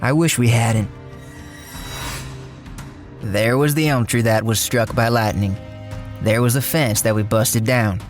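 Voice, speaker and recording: An actor playing a cocky, bossy small-time crook with a folksy, sardonic, slangy way of talking in a radio crime drama. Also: male voice, medium pitch, a little slow, pauses often, soft background music, lightly acted. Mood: wry, uneasy recounting. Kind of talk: radio crime drama acting, first-person narration.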